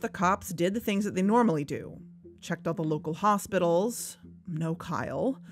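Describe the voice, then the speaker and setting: A woman's voice: on the low side, natural voice. A woman playing a wry, earnest investigator who co-hosts a podcast, narrating in a conversational, confiding way. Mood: serious, frustrated. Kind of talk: conversational scripted audio drama narration. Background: background music.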